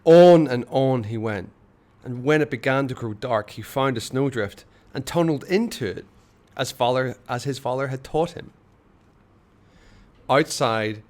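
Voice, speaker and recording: A man narrating a story as an expressive read-aloud, with measured, dramatic phrasing and pauses. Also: mid-range voice. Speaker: storyteller reading a children's book aloud.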